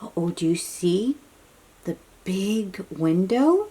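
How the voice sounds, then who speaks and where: A woman reading a picture book aloud to young children in an animated, breathy, questioning way, drawing out her words. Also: medium pitch. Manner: animated, drawn-out, questioning delivery aimed at little children. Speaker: children's read-aloud host.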